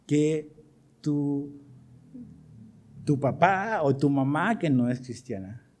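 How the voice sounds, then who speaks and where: A man's voice: fairly high pitch, expressive, takes it slowly, darkish tone. A middle-aged man with a Chilean accent preaching to his congregation as pastor, asking them questions as he goes. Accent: Chilean Spanish. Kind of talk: sermon.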